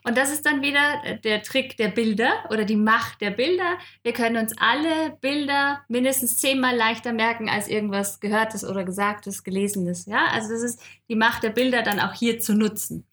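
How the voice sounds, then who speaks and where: A woman co-hosting a podcast, chatty and lively, laughing often as she explains. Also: fairly high pitch, moderate pace, hardly any pauses. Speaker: podcast co-host. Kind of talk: casual podcast chat.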